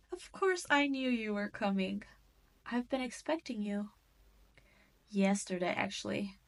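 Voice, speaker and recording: Slow, taunting demon queen, acted by a woman. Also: medium pitch, gravelly. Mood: smug, mocking. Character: cruel, gloating demon queen toying with captured hero. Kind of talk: scripted audio roleplay monologue.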